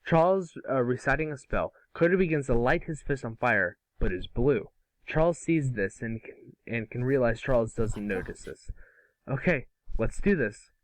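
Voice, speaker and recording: A man serves as narrator for an amateur table read. His voice has a middling pitch and is captured dry, with very little room sound. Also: expressive, moderate pace, no room sound, low-quality recording. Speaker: narrator and series co-creator.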